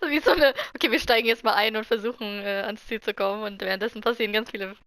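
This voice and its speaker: A woman's voice: medium pitch, rapid-fire, a bit bright, dry recording, clean studio sound. A woman giving casual, playful reaction commentary as she streams a game. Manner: informal, playful.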